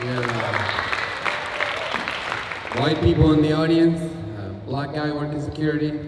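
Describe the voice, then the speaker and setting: A man delivering a stand-up roast with punchy, deadpan insult jokes. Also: fairly high pitch, measured pace, background noise. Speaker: roast comedian. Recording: echoey hall, distant mic, crowd. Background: audience laughter.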